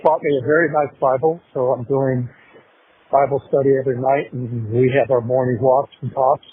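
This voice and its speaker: A man's voice: medium pitch, fairly even intonation, normal speed, husky. Man on a tinny phone call. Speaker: parent of the inmate on a jail call. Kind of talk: casual phone conversation. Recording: tinny phone line with faint noise.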